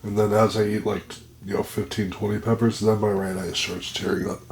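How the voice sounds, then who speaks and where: A man with a very deep, low voice talks haltingly through a pepper tasting, his nose running and blocked up. He speaks into a plugged-in microphone at home, with a faint hiss behind him. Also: level tone. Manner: halting, describing the burn as it builds. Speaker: pepper reviewer. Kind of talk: casual vlog commentary. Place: indoors at home.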